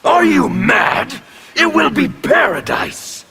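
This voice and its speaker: An actor playing a menacing male anarchist warrior who speaks with fervent zeal and bursts into shouts. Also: very high-pitched, sing-song, slow, very noisy, heavily acted. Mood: fanatical. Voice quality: gravelly, intimidating.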